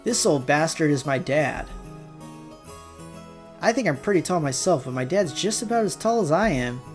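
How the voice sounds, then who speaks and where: A man's voice: a little high, animated, moderate pace. A man reading a visual novel's narration aloud, breaking off for casual reactions, with music behind him. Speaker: amateur visual novel reader and player.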